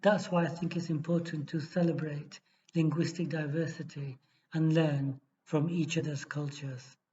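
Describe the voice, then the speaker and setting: A man tutoring for an exam, reading a model essay aloud in a steady, measured way with small restarts. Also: a little high, a little hiss or hum. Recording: dull lo-fi recording.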